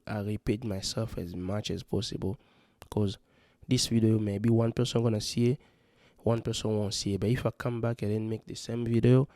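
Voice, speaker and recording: A man's voice: fairly low pitch, mellow, dry recording, clean studio sound. A man from Congo, talking earnestly and rambling in a casual vlog, full of "you know" fillers. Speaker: vlogger giving parenting advice. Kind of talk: casual spoken vlog monologue. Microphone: handheld or close mic.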